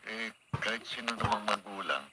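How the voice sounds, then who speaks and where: A man's voice: on the low side, moderate pace, heavy background noise, close to an everyday voice. An actor playing an emotional, caring man in a Filipino radio drama. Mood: concerned. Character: emotional Filipino men: stern father, supportive boyfriend. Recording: radio drama with loud music bed.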